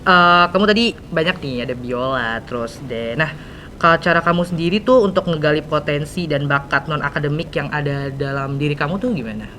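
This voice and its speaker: A man's voice: brisk, bright, forceful tone. A teenage male with a Jakarta Indonesian accent, hosting a casual interview in slangy, hesitant speech full of fillers. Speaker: podcast host. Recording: clear background noise, low rumble.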